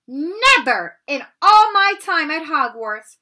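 Woman playing a stern, furious female professor, high and sharp. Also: big pitch swings, slow pace, heavily acted. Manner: sharp, clipped, scolding.

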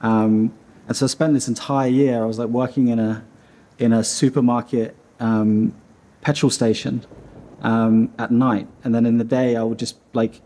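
A man's voice: mid-range voice, little pitch movement, normal speed, warm tone. A man in his thirties from Iceland, a studio founder talking casually in a seated interview, rambling with lots of fillers and restarts. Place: dim studio room.

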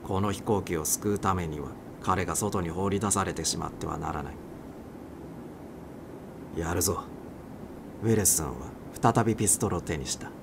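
A man's voice: low-pitched, fairly quick, creaky, hardly any pauses, noisy background. A man reading a suspense short story aloud as a dramatic audiobook narrator.

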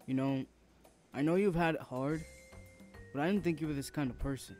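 A man's voice: fairly high pitch, brisk, darkish tone, faint music behind, close to an everyday voice. Blunt, suspicious older brother played by a man. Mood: angry, accusing. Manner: blunt, confrontational. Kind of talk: amateur audio roleplay acting.

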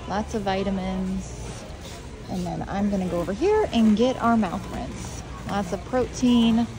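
A woman's voice: mid-range voice, poor audio. A woman giving casual, chatty running commentary for a shopping vlog, thinking aloud as she goes. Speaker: family shopping vlogger. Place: large indoor warehouse store. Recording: clear background noise of warehouse store.

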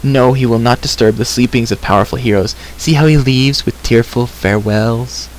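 A man voicing a small shaggy creature from a storybook, whimpering, grovelling and self-pitying in drawn-out wails. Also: medium pitch, lightly acted, low-quality recording. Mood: meek, self-pitying. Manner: whimpering, wailing, drawn-out rhyming third-person speech. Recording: clear background hiss.

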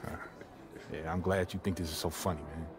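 A young man playing a confident, guarded, easygoing basketball draft prospect in a video game cutscene, speaking casually with measured phrasing. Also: low voice, fairly even intonation, lightly acted. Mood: restless. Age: young adult.

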